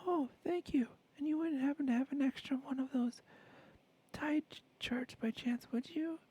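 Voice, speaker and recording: A woman voicing a tall, polite young woman neighbor with a foreign-sounding lilt, in a breathy whisper. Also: high voice, normal speed, mellow, lightly acted, low-quality recording. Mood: eager.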